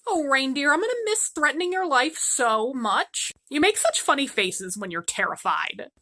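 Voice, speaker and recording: Woman doing a foul-mouthed robber girl, bright voice, lively and up-and-down, blunt threats. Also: high voice, moderate pace, voice pushed up, full character voice. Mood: tough, teasing. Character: crude tough robber girl, fond of knives.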